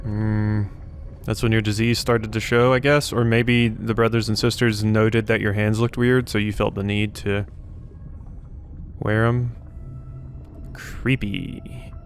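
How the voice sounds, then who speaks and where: A man's voice: fairly low pitch, little pitch movement, takes it slowly, a little hiss or hum. A man giving casual let's play commentary, musing aloud in a wry way as he goes through a video game.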